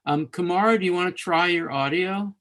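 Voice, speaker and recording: A man's voice: high voice, brisk, a bit bright. Conversational man hosting a live discussion. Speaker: host of a live literature course webcast.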